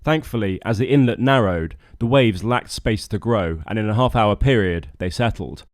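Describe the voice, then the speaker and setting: A man's voice: on the low side, moderate pace. A British man narrating a scripted vlog as a boat skipper, with a wry, dryly humorous manner. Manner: wry, dry humour.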